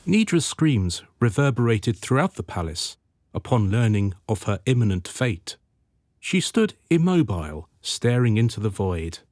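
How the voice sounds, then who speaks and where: A man's voice: on the low side, big pitch swings, a little slow, a little mellow, no echo. A man narrating a period radio drama as a solemn storyteller, with scripted dramatic delivery.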